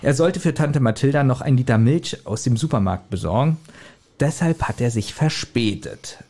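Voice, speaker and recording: A man hosting a casual podcast, retelling a story scene by scene in a halting, chuckling manner. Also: mid-range voice, animated, darkish tone. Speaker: podcast host retelling an audio drama. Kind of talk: casual scene-by-scene recap among friends.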